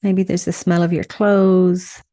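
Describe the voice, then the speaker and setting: Woman doing a guided meditation, soft mellow tone, bit of creaky fry, slow gentle pauses. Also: on the low side, flat delivery. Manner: soothing, unhurried, gently instructing with pauses.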